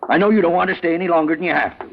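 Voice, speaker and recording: A man's voice: fairly high pitch, rapid-fire, vocal fry. An American man playing a querulous, bullying old invalid uncle in a 1940s style radio drama, grumbling and demanding. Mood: self-pitying, scolding. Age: older. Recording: dull, crackly old radio recording.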